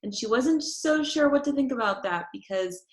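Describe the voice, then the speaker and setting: A woman's voice: sits high, animated, moderate pace. A woman speaking simply and instructively to young listeners, like a teacher introducing a children's read-aloud. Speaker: teacher reading aloud to pupils. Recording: roomy echo.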